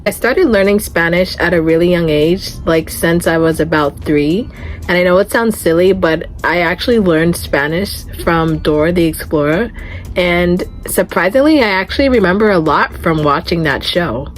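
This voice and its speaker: A woman's voice: on the low side, normal speed, soft background music, poor audio. A woman in her twenties talking casually and earnestly to camera in a vlog, with frequent "like" fillers. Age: 20s. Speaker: vlogger.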